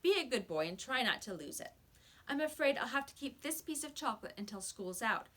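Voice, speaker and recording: Woman playing a firm, bright-voiced schoolteacher. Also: fairly high pitch, sing-song, pitched above the performer's own voice. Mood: firm, kindly. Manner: cautioning, firm, measured. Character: stern but concerned female schoolteacher. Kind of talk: children's storybook read-aloud.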